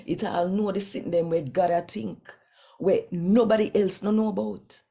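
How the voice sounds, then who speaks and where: A woman with a Jamaican accent reads scripture aloud in Patois, with deliberate, emphatic phrasing. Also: mid-range voice, steady tone, vocal fry, mellow. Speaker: scripture reader and host of a daily reading.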